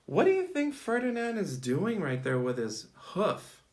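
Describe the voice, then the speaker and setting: Male early-years teacher, slightly high voice, lively intonation, reads a picture book and prompts listeners with questions and praise. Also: unhurried. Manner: asks questions, praises and repeats answers in short phrases. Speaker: teacher reading a picture book to young children. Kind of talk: interactive storybook read-aloud.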